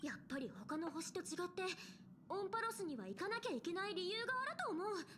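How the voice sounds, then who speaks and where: Bubbly, high-pitched anime girl adventurer. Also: racing, slight background noise, exaggerated voice. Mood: eager, persuading. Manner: perky, excitable. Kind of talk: anime game voice acting. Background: game music.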